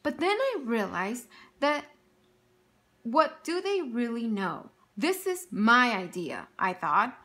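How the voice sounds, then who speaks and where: Lively high-voiced woman reading aloud. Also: takes it slowly. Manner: expressive storytelling with questions for young listeners. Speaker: teacher. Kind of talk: reading a picture book aloud to children.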